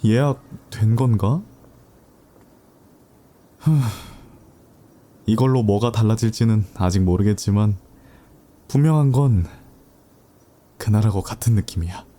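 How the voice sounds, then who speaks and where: A reflective young man, voiced by a man, muses quietly to himself in a lowish, mellow voice. His inner monologue drifts back over a past romance in this audio drama. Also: measured pace, long pauses, natural voice. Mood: musing.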